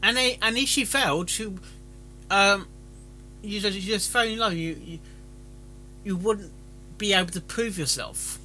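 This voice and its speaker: A man's voice: sits high, a little slow, pauses often, sharp tone, faint music behind. A man giving rambling, casual commentary as a stream host, stammering and often repeating words as he goes. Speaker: host of a fan fiction reading stream.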